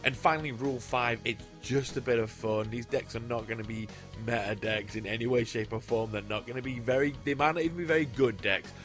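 Male host, mid pitch, casual and upbeat, light music underneath. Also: steady tone, fairly quick, fairly bright tone. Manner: chatty and enthusiastic, lists card names. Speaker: YouTube host. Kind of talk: casual card-deck commentary.

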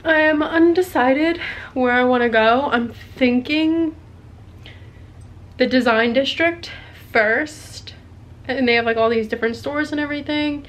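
A woman's voice: high voice, measured pace. A young woman in a small hotel room, talking casually to a handheld camera about her day, rambling with lots of filler words. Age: young adult. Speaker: vlogger. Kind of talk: casual travel vlog chat. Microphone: handheld camera at arm's length.

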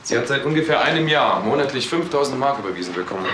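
An actor playing a matter-of-fact police detective reporting findings in a crime radio drama. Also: male voice, mid-range voice, lively intonation, fast talker, background noise, close to an everyday voice. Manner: factual, questioning.

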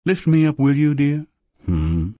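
A man's voice: a little high, sing-song, unhurried, mellow, dry recording, clean studio sound. A man voicing a suave, wheedling, theatrical frog who is secretly a king, in a fairy-tale video game. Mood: smug, wheedling. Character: smug, courtly male frog, secretly a king.

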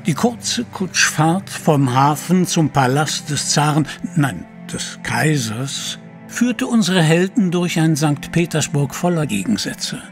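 Ein Mann erzählt theatralisch und getragen die Handlung eines Hörspiels. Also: male voice, fairly high pitch, lively intonation, takes it slowly, faint music behind. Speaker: narrator. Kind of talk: scripted audio drama narration.

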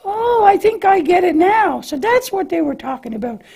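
Super high little lamb puppet, soft mellow tone, naive n full of questions, kids church show. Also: female voice, sing-song, moderate pace, gravelly, heavily acted. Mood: curious. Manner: hesitant, questioning, childlike. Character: cheerful, naive young female lamb puppet.

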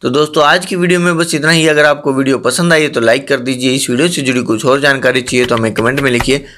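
A man hosting an explainer channel, narrating a scripted voice-over in a steady manner and often addressing viewers as friends. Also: medium pitch, fast talker. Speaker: channel host.

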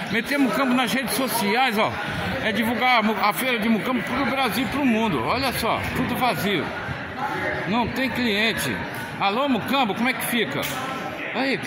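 Guy filming a street report on his phone, high voice, super chatty, loud market noise behind. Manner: chatty, rhetorical, repeats questions. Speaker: local web TV reporter. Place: open-sided covered market hall, outdoors. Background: market crowd and street traffic.

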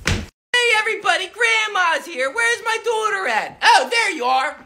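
A woman's voice: very high voice, expressive, a little slow, creaky, bright, forceful tone, slight background noise, exaggerated voice. A woman voicing a loving, doting grandmother who fusses over her grandkids in a comedy skit. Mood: cheerful. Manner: sweet, fussing over grandbaby.

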